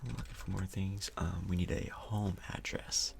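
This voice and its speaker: A man speaking softly, close to a whisper, in an ASMR doctor role-play, with a casual, hesitant, reassuring manner, close to the microphone. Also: low-pitched, fairly even intonation, moderate pace, gravelly, noisy background.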